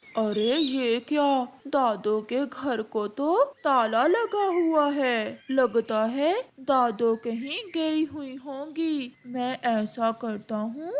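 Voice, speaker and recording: A sly, thieving young crow boy from a children's cartoon, acted by a woman. The voice is very high and whiny, with a pleading manner. Words come slowly, over noticeable background noise. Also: heavily acted. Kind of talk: animated children's moral story dialogue.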